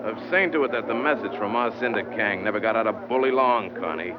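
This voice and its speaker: A man's voice: on the low side, fast, a crowd in the background, close to an everyday voice. An actor playing a surly, belligerent man in an old radio drama, touchy, curt and threatening. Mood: menacing. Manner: curt, combative. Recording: muffled, noisy old radio recording.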